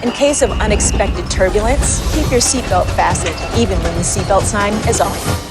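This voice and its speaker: Animated woman over loud music. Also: mid-range voice, normal speed. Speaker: safety video presenter. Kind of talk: scripted safety instructions.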